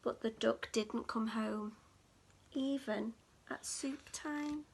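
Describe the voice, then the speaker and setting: A woman reading a picture book aloud to young children in an expressive, dramatic storytelling manner. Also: fairly high pitch, takes it slowly, vocal fry, noisy background. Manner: dramatic, with hushed whispers and gasps.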